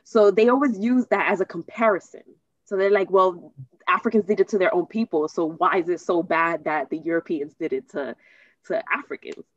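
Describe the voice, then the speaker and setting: Low-voiced American woman, halting delivery. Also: no room sound, highs cut off. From United States. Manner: halting, searching for words, repeats herself. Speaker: host interviewing a guest. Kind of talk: casual conversational interview.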